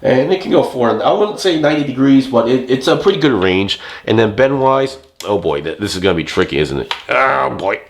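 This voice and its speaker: A man with a hoarse, fading voice chatting casually as he handles and reviews an action figure. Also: medium pitch, expressive, brisk, few pauses, roomy sound, a little hiss or hum. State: hoarse, losing his voice. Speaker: toy reviewer. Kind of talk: casual hands-on product review.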